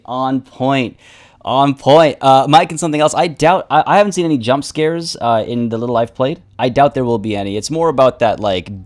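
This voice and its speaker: A man live-streaming a game, chatting casually and rambling with many restarts, his voice a little rough from the morning. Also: medium pitch.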